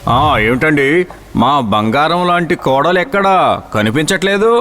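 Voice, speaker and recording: A man's voice: a little high, animated, normal speed, a bit bright. A male actor as a nosy, stubborn middle-aged father-in-law in a cartoon fable, questioning and firm. Faint music runs behind the voice. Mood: inquiring. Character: inquisitive, unyielding father of a groom. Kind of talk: animated moral story dialogue.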